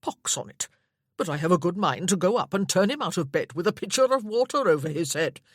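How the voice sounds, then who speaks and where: A woman voicing a boisterous, jovial elderly nobleman who booms and roars his words. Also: lively intonation, brisk, no room sound, studio quality. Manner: booming, roaring with laughter.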